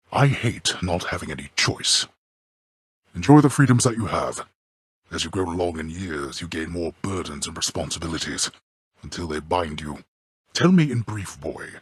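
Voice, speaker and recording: An actor playing a stern, imperious god-king of the underworld in a video game, with formal, commanding, disdainful and measured delivery. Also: sits low, fairly bright tone, slightly rough, no echo, studio quality, exaggerated voice. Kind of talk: theatrical scripted video game dialogue.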